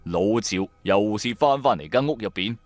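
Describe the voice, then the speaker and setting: A man's voice: fairly low pitch, animated, normal speed, no room sound, soft background music. A man with a Cantonese accent narrating a scripted first-person audio drama in a dramatic storytelling style.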